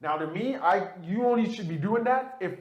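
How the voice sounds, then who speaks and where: A man with a fairly high, very lively voice, ranting animatedly as he co-hosts a casual podcast chat. He repeats himself as he builds steam. The room gives his voice a roomy echo. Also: moderate pace, dull audio. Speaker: podcast co-host. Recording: echoey room sound.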